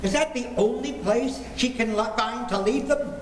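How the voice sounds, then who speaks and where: A man's voice: extremely high pitch, moderate pace. A man acts a blustery suburban father in a radio family comedy, recorded in a noisy, echoing hall. He grumbles and lectures with stern exasperation. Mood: indignant grumbling. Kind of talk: old-time radio family comedy acting.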